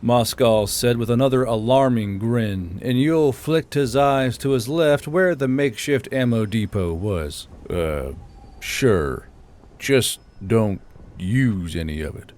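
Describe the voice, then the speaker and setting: A man's voice: mid-range voice, darkish tone. A man reading scripted military fiction as an audiobook narrator.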